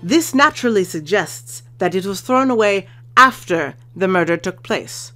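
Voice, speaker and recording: Woman playing young female prosecutor, haughty, stern, imperious, game fan dub, clear background music. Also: medium pitch, animated, moderate pace, pushed and bright. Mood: stern, accusing. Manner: imperious, crisp, dismissive.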